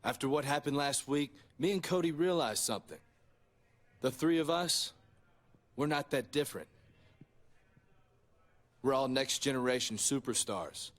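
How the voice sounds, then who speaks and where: A man's voice: medium pitch, animated, a little slow, pauses often, natural voice. An actor voicing a cocky young male wrestler in a video game, speaking in a smug, persuasive way.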